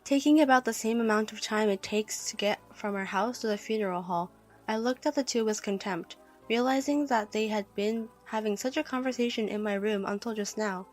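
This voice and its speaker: A woman's voice: mid-range voice, a little fast, darkish tone, faint music behind. A woman reading a scripted first-person story as narrator.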